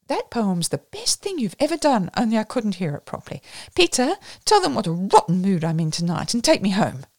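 A woman's voice: a little high, no room sound, clean studio sound, pitched above the performer's own voice. A woman doing a gushing society girl. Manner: gushing, rapid, apologetic. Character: lively upper-class young woman, impulsive.

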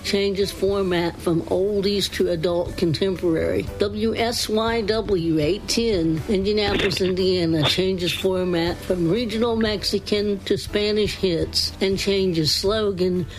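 Slow-paced woman reading radio announcements. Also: medium pitch, steady tone, soft background music, rough recording. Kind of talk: scripted announcement, reading a list.

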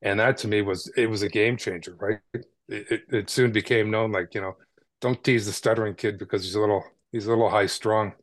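Low-voiced man telling his story. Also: steady tone, a little fast, no room sound. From Canada. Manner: conversational, frequent "right?" tags and small repeats. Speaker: podcast guest. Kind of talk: casual storytelling interview.

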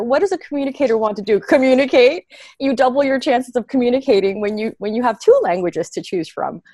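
A woman being interviewed about reading children's books online, talking in an earnest, expressive way and laughing easily. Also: on the high side, dry recording, clean studio sound. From United States. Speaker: guest, children's book reader. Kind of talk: casual interview answers.

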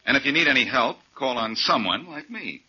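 An actor playing a cocky, quick-witted amateur detective in a 1940s radio drama, breezy and self-assured, on an old recording. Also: male voice, mid-range voice, expressive, lo-fi sound, over loud music, close to an everyday voice. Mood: cocky, teasing.

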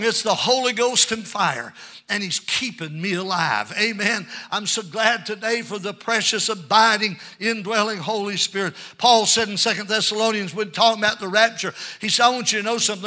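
Older guy preaching pentecostal style, high really piercing forceful voice, keeps saying amen and calling for a response. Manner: exhorting, punctuated with frequent amens and calls for response. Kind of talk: fervent Pentecostal church sermon.

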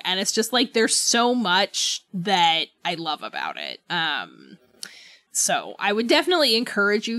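A woman's voice: a little high, a bit bright, no echo. A woman co-hosting a podcast, chatting casually about books in an informal, easygoing way and chuckling readily. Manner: informal, chuckles easily while talking. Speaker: podcast co-host. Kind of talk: casual book discussion chat.

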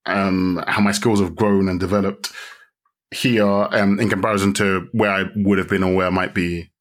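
A man's voice: deep voice, flat delivery, normal speed. A young man from London with a London accent, chatting casually as an interview guest, rambling through restarts and fillers. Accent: London English. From London, England. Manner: chatty, many restarts and fillers, self-deprecating. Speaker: guest, videographer.

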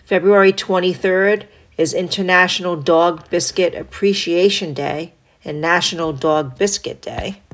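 A woman hosting a solo podcast, stuffed up from a cold, reading through a list in a steady, even way with small asides. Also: fairly low pitch, measured pace. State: congested from a cold. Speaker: podcast host.

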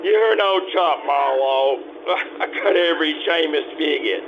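Guy doing a slick little crook from an old radio play, kinda high voice, talks fast n slangy, muffled sound. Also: background noise, close to an everyday voice. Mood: sly. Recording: muffled lo-fi recording.

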